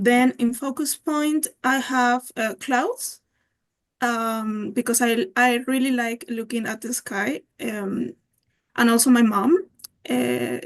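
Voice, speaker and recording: A young woman from Peru, not an English speaker, sharing her drawings hesitantly on a video call with many fillers. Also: a little high. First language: Spanish. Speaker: workshop participant.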